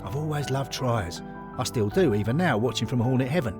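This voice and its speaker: A man's voice: medium pitch, expressive, brisk, music in the background, close to an everyday voice. A man playing a devoted football fan who earnestly and wistfully recalls his boyhood in an audio story. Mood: fond, nostalgic. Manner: earnest, flowing reminiscence. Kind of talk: dramatic audiobook monologue.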